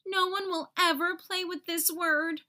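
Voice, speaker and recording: A woman voicing small, excitable, anxious young alphabet letters from a children's storybook, exclaiming in a childlike way. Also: extremely high pitch, measured pace, pitched above the performer's own voice, full character voice. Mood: frustrated, worried.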